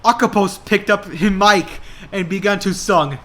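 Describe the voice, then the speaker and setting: A man narrating a comedic dramatic reading of fan fiction, deliberately reading every error as written. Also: high voice, a bit bright.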